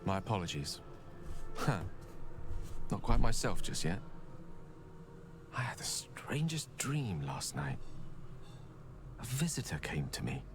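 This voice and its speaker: A man playing a refined, troubled companion in a fantasy game, speaking in a hushed, halting, theatrical way. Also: lowish voice, sing-song, over music, exaggerated voice. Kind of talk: dramatic video game dialogue. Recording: game ambience noise behind the voice.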